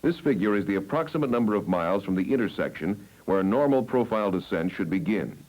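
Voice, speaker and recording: A man with an American accent reading scripted training film narration in a measured, instructional way, on an old 1970s film soundtrack. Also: on the low side, animated, dull audio.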